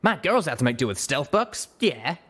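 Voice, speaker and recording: A man voicing a brisk, wry female griffin mercenary leader in a storybook reading, chatty and blunt. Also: medium pitch, sing-song, pushed and bright, breathy edge, pitched above the performer's own voice, exaggerated voice. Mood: wry, tolerant.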